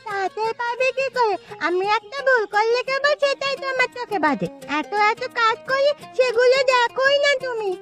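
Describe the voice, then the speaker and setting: A woman's voice: extremely high pitch, moderate pace, few pauses. A woman voicing an eager, pleading little village girl with a childlike, whining manner in a cartoon. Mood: indignant. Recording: music under the voice.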